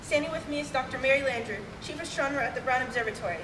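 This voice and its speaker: A woman's voice: high voice, racing, lightly acted. A young woman playing a formal, earnest male radio reporter in a stagey parody of old radio news. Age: young adult. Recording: roomy noisy stage, distant mic.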